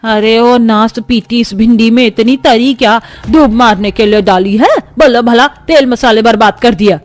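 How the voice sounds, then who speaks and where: A woman's voice: on the high side, normal speed, close to an everyday voice. A woman voicing a bossy, nagging old grandmother-in-law with rustic phrasing in a cartoon story. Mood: scolding, bossy. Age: older. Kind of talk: acted Hindi moral-story cartoon.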